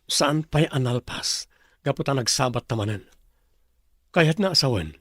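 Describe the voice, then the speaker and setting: An actor playing a pleading, persistent former lover in an Ilocano radio drama. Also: male voice, medium pitch, expressive, unhurried, a bit bright, dry recording, clean studio sound. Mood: pleading, insistent.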